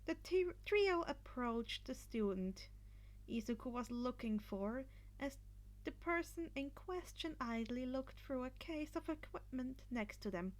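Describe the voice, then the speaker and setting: A woman's voice: high-pitched, lively intonation, a little slow, poor audio. A woman reading fan fiction aloud as an amateur narrator of a scripted story.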